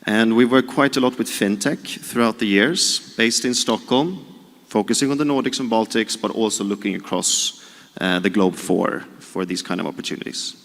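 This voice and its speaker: Slightly deep man in a reverberant hall. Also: moderate pace, vocal fry, a bit bright. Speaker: panelist, corporate innovation adviser. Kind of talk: concise self-introduction on a panel. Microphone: handheld event microphone. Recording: echoey hall PA sound.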